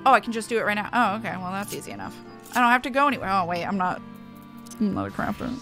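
A woman's voice: mid-range voice, lively intonation, rapid-fire, pushed and bright. A woman game streamer giving casual, blunt commentary, often muttering to herself and swearing. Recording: faint music behind.

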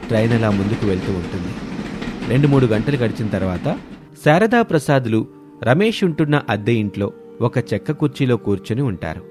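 A man's voice: fairly low pitch, lively intonation, fairly quick. A man narrating a scripted family story as its storyteller. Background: music.

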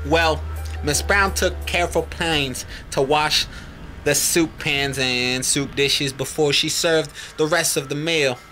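A man acting a brisk, methodical homicide sergeant in an amateur radio mystery drama. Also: on the high side, fairly even intonation, sharp tone, faint music behind. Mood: knowing, firm.

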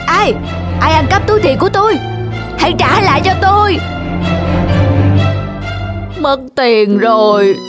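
Cartoon folk tale character, high-pitched, over clear music. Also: female voice, animated, a little slow, pauses often. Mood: distressed. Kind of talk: animated folk tale dubbing.

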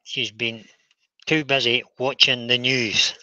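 A man with a Scottish accent hosting a live woodturning stream, chatting in a relaxed way as he works in an indoor workshop. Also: medium pitch, slow pace, dry recording, muffled recording. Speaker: woodturner host. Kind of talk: casual chat while demonstrating.

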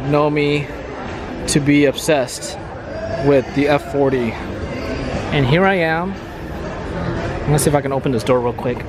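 A man in his thirties hosting a casual vlog at an outdoor car auction, talking excitedly to a handheld camera. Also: on the high side, measured pace, pauses often, background noise. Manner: excited, enthusiastic. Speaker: vlog host. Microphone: handheld camera at arm's length. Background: crowd chatter and music.

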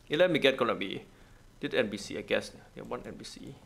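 A man's voice: medium pitch, fast, gravelly, a bit bright, slightly rough. A man giving casual gameplay commentary in non-native, broken English, thinking aloud in short, repeated phrases. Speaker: gaming video host.

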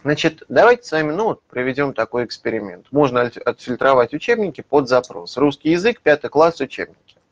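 A man's voice: mid-range voice, very animated, a little fast. A man in his thirties, a publisher's lead methodologist, explaining a digital library in a webinar, with frequent hesitation fillers, recorded on a webcam in a small office. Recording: slightly dull lo-fi webinar audio.

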